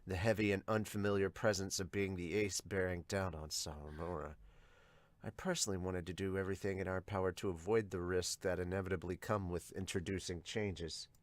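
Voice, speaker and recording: A man voicing a brooding observer, hushed and measured, thinking aloud in a manga dub. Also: deep voice, steady tone, no room sound, pitched below the performer's own voice, lightly acted. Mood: brooding, reflective.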